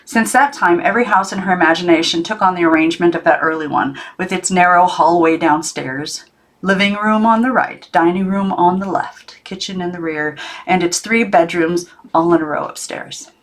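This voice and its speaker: A woman reading a children's novel aloud as an audiobook narrator, in an expressive storybook style. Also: on the low side, moderate pace. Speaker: audiobook reader.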